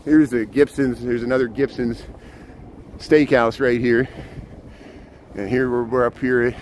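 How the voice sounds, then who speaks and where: Loud chatty man on a noisy street. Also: mid-range voice, fairly even intonation, unhurried. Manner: chatty, pointing out sights as he walks. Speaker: live streamer; urban planner. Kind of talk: walking tour live stream. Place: outdoors on city streets. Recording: noisy city street with traffic.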